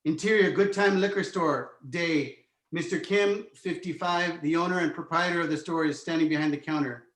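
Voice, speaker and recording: Higher-voiced man narrating in an echoey room. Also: fairly even intonation, moderate pace, fairly bright tone. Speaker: stage directions narrator. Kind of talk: scripted narration, screenplay table read.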